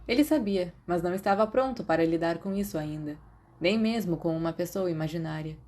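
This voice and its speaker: A woman's voice: on the low side, a little fast, a little mellow. A woman with a Brazilian Portuguese accent reading scripted fiction as an audiobook narrator.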